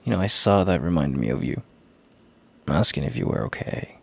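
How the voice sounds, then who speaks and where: A man playing a gentle, worried boyfriend in an intimate audio roleplay, speaking in a halting, tender, hushed way with a gravelly, husky, breathy voice. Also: rumbling low, big pitch swings, exaggerated voice. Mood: pained worry. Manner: halting, tender, hushed, sighing between phrases. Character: gentle worried boyfriend, relieved and heartfelt, on a call.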